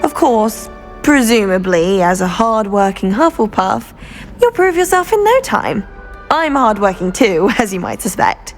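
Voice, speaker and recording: A woman's voice: mid-range voice, sing-song, a little slow, faint music behind. A woman with a British accent playing a boastful, self-important teenage schoolgirl in a video game, in a haughty, affected manner. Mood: condescending. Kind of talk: video game character dialogue.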